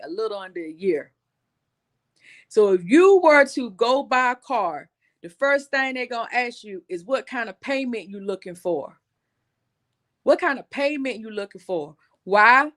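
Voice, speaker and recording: A woman's voice: on the high side, fairly bright tone. A woman, hosting a casual livestream and explaining things to viewers in a talkative way, often repeating herself for emphasis. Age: 40s. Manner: conversational, addresses viewers as y'all, repeats points for emphasis. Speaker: livestream host.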